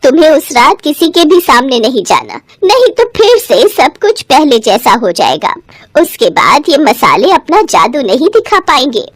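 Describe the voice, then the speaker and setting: A woman voicing a kindly old retired sorceress in a storybook tale, gentle and advising. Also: high voice, very animated, moderate pace, no echo, studio quality. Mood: kind, solemn.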